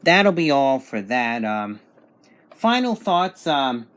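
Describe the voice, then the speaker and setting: A man reviewing a product in a casual, hands-on way, matter-of-fact and a little halting. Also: medium pitch, big pitch swings, fairly bright tone, clear, resonant tone.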